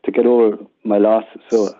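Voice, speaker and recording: A man's voice: fairly low pitch, level tone, moderate pace, dark tone. An older Irish man chatting casually and reminiscing as an interview guest, often repeating words as he goes. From Ireland. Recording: muffled, phone-like narrowband line.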